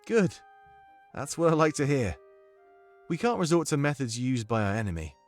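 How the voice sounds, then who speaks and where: A man playing a thoughtful fantasy ally who counsels a monarch, speaking in a measured, questioning, principled way. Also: mid-range voice, very animated, soft background music, close to an everyday voice. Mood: probing, firm. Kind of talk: fantasy audio drama acting.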